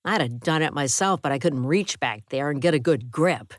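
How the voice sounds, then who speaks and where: A woman reading a gruff, menacing male hospital patient who taunts in a snide voice. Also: low voice, animated, moderate pace, no echo. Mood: complaining.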